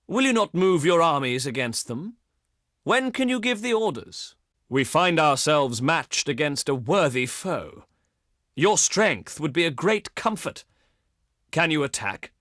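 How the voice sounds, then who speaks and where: A man playing a haughty, wry ancient Greek diplomat in a strategy game, with pompous, courtly delivery and dramatic pauses. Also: fairly high pitch, big pitch swings, fairly bright tone, no room sound, studio quality. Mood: earnest, concerned. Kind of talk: theatrical scripted game dialogue.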